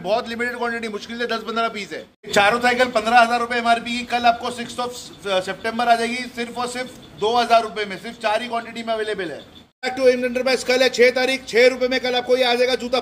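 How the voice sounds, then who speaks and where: A young man with a very high voice pitches a store sale, holding his phone camera as he walks a big indoor shoe shop. He repeats prices over and over in a flat, unvarying tone. A faint store hum sits behind him. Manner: repetitive rapid-fire price listing. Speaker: shop salesman. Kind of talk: promotional sale walkthrough.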